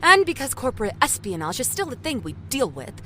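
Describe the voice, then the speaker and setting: A woman's voice: high-pitched, very animated, brisk, sharp tone, slight background noise, exaggerated voice. A woman acting in an audio drama as a vain, foul-mouthed, ruthless TV executive, theatrical and sarcastic. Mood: cynical, explanatory.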